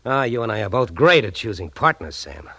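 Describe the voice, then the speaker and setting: An actor playing a worried male detective in a 1940s radio drama, talking in a quick, tense, confiding way. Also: lowish voice, sing-song, sharp tone, husky, no echo, exaggerated voice. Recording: old radio broadcast.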